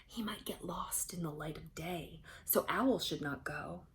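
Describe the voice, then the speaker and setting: A woman's voice: fairly low pitch, measured pace. A woman voicing worried forest animals debating in a storybook, with emphatic, exclamatory delivery. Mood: worried, argumentative. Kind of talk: storybook character voices.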